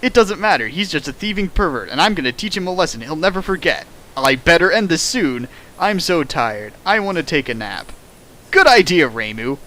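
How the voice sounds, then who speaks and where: Bright animated young man. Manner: animated, jumps in pitch for jokes and sound effects. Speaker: host reading a fan fiction aloud. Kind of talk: comedic dramatic reading with riffing.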